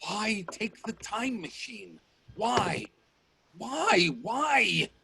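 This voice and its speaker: A man playing a driven, stubborn, hot-tempered Edwardian inventor in a radio play, forceful and demanding. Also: sits high, expressive, extremely slow, vocal fry, crowd noise, full character voice. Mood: stubborn despair.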